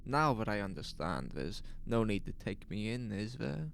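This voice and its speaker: A man's voice: lowish voice, very animated, gravelly. Man acting as a rattled small-town storekeeper, voice swooping anxiously. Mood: nervous relief. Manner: halting, agitated, self-justifying. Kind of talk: audio horror drama acting.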